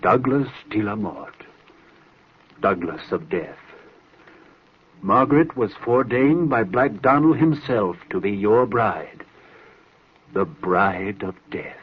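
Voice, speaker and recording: A man's voice: fairly low pitch, lively intonation, slow, pauses often, breathy edge, exaggerated voice. An actor playing a proud, furious old lord and father in declamatory radio drama style. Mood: subdued, solemn. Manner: imperious, declamatory. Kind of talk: 1940s radio acting. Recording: muffled, noisy old radio recording.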